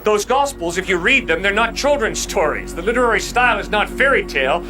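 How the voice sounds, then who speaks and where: A man with a distinctly high voice argues like an impassioned street apologist. He is emphatic and colloquial, leaning on rhetorical questions. Music plays clearly behind him. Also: expressive, brisk, poor audio. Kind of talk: impassioned open-air apologetics debate.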